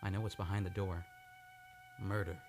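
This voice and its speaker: An actor playing a tormented man with amnesia in an old-time radio drama, halting and overwrought as he believes himself a killer. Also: deep voice, flat delivery, over music, close to an everyday voice. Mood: bleak, resigned. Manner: halting, overwrought delivery with repeated words.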